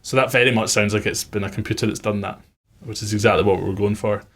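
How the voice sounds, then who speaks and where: A man's voice: on the low side, steady tone, quick pace, fairly bright tone. A man working as a music producer, giving an informal, conversational tutorial on his production methods.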